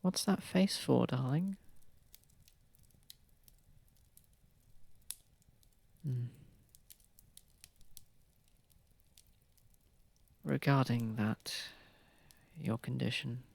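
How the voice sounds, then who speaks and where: Courtly vampire of the manor an actor's role: breathy, gravelly, gentle and unhurried, intimate ASMR delivery. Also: mid-range voice, expressive, no room sound. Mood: tender, courteous. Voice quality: breathy, husky, slightly creaky. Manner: soft-spoken, soothing, unhurried, slightly formal. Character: posh, gentle, protective vampire lord of a manor. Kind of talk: ASMR roleplay, soft intimate acting. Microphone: close microphone, dry.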